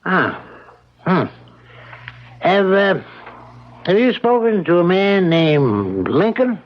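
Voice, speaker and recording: Slow, hesitant small-town judge. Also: male voice, sits high, big pitch swings, full character voice. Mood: evasive, hedging. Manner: hemming, stammering, evasive, polite. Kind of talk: old-time radio drama acting. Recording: muffled old radio drama recording.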